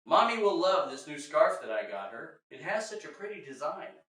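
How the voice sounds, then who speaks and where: A man's voice: fairly high pitch, sing-song, moderate pace, a bit bright. A man voicing a breezy, fashion-loving eight-year-old girl in a rhyming children's story. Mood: breezy, pleased. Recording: very echoey room, distant mic.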